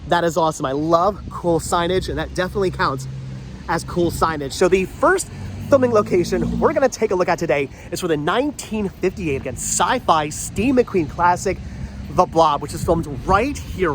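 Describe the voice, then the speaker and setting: A man's voice: high voice, animated, moderate pace. A man with a stuffy, congested voice from a cold, chatting enthusiastically as he walks outdoors on a rainy town street and films himself. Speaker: vlogger. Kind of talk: walking tour vlog. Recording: light street noise.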